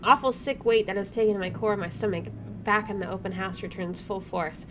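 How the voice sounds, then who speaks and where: A woman's voice: medium pitch, fairly even intonation, brisk, noisy background. A woman doing a let's play, reading game narration aloud and adding informal, reactive commentary. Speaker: game streamer, let's player. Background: game music.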